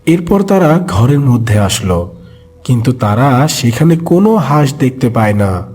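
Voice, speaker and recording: A man's voice: medium pitch, very animated, unhurried, a little mellow, breathy edge, soft background music. A man narrating a scripted children's cartoon story.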